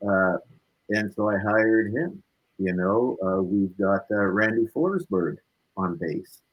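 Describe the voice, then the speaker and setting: A man with a fairly low, husky voice speaks as an interview guest. His talk is casual and reflective. He is emphatic and circles back to repeat his key points. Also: lively intonation, slow pace, lo-fi sound.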